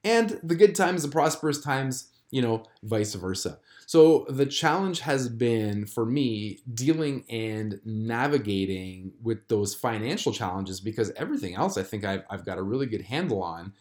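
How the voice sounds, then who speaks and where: A man in his thirties, born in Croatia, hosting a solo podcast and talking through a personal struggle in a reflective, halting way with many fillers and restarts. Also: fairly low pitch, expressive, a little mellow. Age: 30s. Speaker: podcast host. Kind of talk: candid solo podcast confession.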